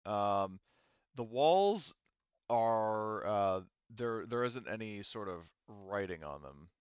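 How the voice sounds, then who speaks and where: A man with a fairly low, clear voice acts as game master, explaining scenes conversationally and feeling his way through phrases. The sound is very dry and a little dull and lo-fi. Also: animated, slow pace, no room sound. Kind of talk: improvised tabletop game narration.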